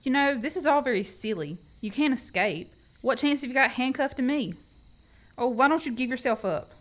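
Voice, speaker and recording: A woman's voice: high voice, normal speed, close to an everyday voice. A young woman acting an indignant, reluctant captive companion in a student radio play. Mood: skeptical. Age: young adult. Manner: indignant, protesting.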